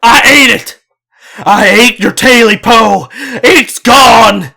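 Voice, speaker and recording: A man voicing a frightened old man in a mountain cabin, yelling loudly and desperately in rural speech. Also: very high-pitched, slow, gravelly, breathy edge, pitched above the performer's own voice, heavily acted. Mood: terrified yelling.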